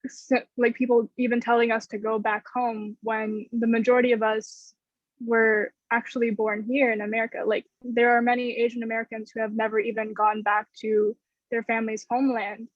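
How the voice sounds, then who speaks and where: A woman's voice: on the high side, little pitch movement, normal speed, no room sound. A young woman student on a video call from her bedroom, speaking hesitantly with many "like" fillers. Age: young adult. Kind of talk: casual discussion on a video call. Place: bedroom with string lights. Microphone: laptop webcam on a video call. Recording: dull, dry webcam sound.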